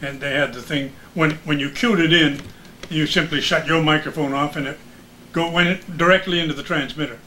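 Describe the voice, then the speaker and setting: Older male radio veteran swapping anecdotes, bright and fairly high voice. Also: steady tone, fairly quick, slight background noise. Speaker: former radio announcer. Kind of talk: animated anecdotal chat.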